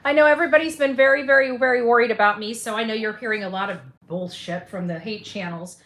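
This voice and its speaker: A woman in her fifties addressing supporters on a livestream in an emphatic, halting way, recorded in a roomy space. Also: on the high side, fairly bright tone. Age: 50s.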